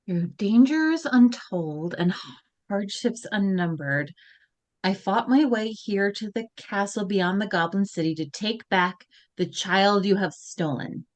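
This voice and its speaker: A woman's voice: mid-range voice, slow. A woman reading the lead teenage girl part in a live video-call read-through, moving between whiny protest and grand recital. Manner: lively, swings between whiny protest and grand recital, breaks off to laugh at slips. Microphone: video call microphone.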